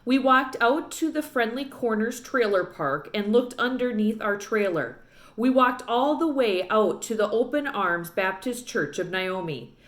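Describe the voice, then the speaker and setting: A woman's voice: on the high side, expressive, measured pace. A woman narrating a children's book aloud expressively, recorded with roomy echo.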